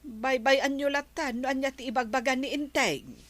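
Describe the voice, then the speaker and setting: A woman with an Ilocano accent playing a patient, peacemaking wife in an audio drama, speaking in a measured, earnest way. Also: high-pitched, a bit bright, dry recording. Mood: placating. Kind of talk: Ilocano radio drama acting.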